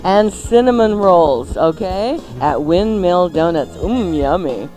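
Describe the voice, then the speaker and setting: A woman's voice: fairly low pitch, animated, takes it slowly, dark tone, faint music behind. A woman co-hosting a radio show, playful and chatty, mixing casual banter with live ad reads. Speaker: radio cooking show co-host.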